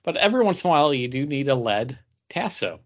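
Male podcast co-host, mid-range pitch, jokey teasing banter, slightly lo-fi recording. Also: sing-song, fast talker, a bit bright. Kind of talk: casual banter.

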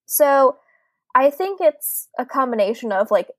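A young woman with a fairly high voice talks candidly and casually on a podcast, laughing easily as she goes. The sound is close and dry, with no room around her. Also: big pitch swings, a little slow, no echo. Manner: chatty, candid, laughs often. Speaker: podcast co-host, book club organizer. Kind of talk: casual chat.

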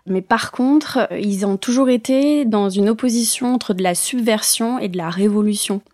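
A woman's voice: medium pitch, normal speed, darkish tone, no room sound, studio quality. A middle-aged woman from France talking reflectively as an interview guest, with many hesitations. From France, born in Bayonne. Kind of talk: casual interview talk.

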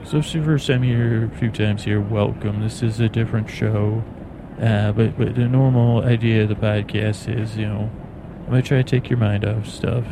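Droning, creaky American man over plane noise. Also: lowish voice. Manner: meandering, halting, droning, soothing. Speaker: podcast host. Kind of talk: rambling bedtime sleep podcast monologue. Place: aboard an airplane in flight. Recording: clear engine and cabin noise. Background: steady plane noise.

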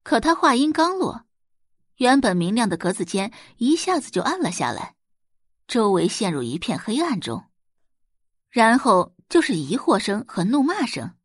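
Expressive female audiobook narrator. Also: a little high, normal speed, husky, no echo, clean studio sound.

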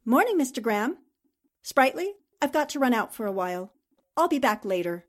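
A woman playing a brisk, breezy female impostor posing as a newspaperwoman, in 1940s radio drama style. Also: a little high, big pitch swings.

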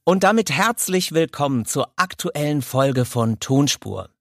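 A man's voice: fairly high pitch, lively intonation, unhurried, gravelly, soft background music. A man hosting a podcast, giving prepared introductions and interviewing in a conversational way.

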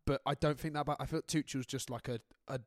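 A man's voice: medium pitch, steady tone, quick pace, no room sound, studio quality. Young man, casual football banter. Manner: swearing, laughing, rambling restarts. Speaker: podcast co-host.

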